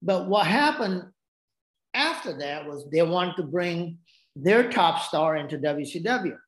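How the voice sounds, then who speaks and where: A man's voice: a little high, big pitch swings, a little slow. Interview guest: man, Japanese accent, native Japanese speaker, rambling storyteller, recorded with an echo. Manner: rambling, frequent restarts and asides. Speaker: podcast guest. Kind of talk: casual storytelling.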